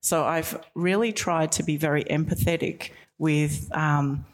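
A middle-aged woman answering interview questions on a school radio show, thoughtful and reflective, often pausing with "um" and restarting her sentences. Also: sits low, fairly even intonation. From England. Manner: thoughtful, with frequent ums and restarts.

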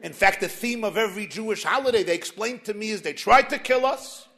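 A man preaching through a story with a very high-pitched, bright and forceful voice. He is animated and piles up long lists with rising energy. It is a lively storytelling sermon. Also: fairly quick.

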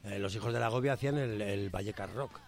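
A man's voice: fairly low pitch, quick pace, creaky. A man from Segovia with a Castilian Spanish accent, hosting an interview in an informal, rambling way. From Segovia, Spain. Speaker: interviewer, host.

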